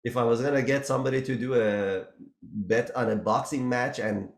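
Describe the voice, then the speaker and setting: A man's voice: medium pitch, moderate pace, reverberant. A man hosting a casual business podcast, rambling a little and laughing as he talks. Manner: rambling, laughs while talking. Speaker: podcast host, business owner.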